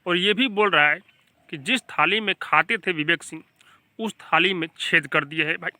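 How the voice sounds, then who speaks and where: A man's voice: high voice, moderate pace, bright, forceful tone, no echo. A man with a Bhojpuri accent giving informal, emphatic gossip news commentary as a channel host, often addressing viewers like brothers.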